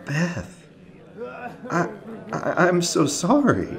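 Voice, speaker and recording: Man as meek, slow, apologetic dad. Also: high voice, huge pitch swings, a crowd in the background, heavily acted. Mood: dazed, sorry. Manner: halting, groaning, meek. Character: pitiful, apologetic divorced middle-aged father. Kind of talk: fan-made cartoon audio drama acting.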